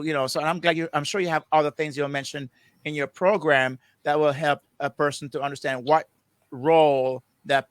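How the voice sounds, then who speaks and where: A man's voice: a little high, fairly bright tone, no echo. A man hosting an interview, affirming his guest and repeating words as he thinks aloud. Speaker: interview host. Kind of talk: casual interview chat.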